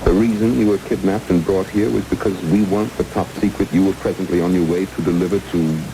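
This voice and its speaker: A very low, creaky-voiced kidnapper from an old radio play. He speaks with smooth, unhurried courtesy that carries menace. Hiss and rain sit clearly over the broadcast. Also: fairly even intonation. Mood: smooth, controlled. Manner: unhurried, mock-genial, menacing politeness. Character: smooth, courteous kidnapper who sells state secrets. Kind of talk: vintage radio drama acting.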